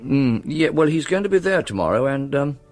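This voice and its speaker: A man's voice: a little high, animated, fairly quick, darkish tone, soft background music, lightly acted. A man playing a reserved, courteous British academic with a dry, understated manner in a naturalistic radio drama. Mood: polite, wry. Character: reserved, courteous male Oxbridge-style don, dry observer.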